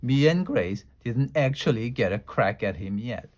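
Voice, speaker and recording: Excitable bossy little schoolgirl, breathless rushing kid talk, dramatic, mid-pitched, as a man reads her. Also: natural voice. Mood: scheming.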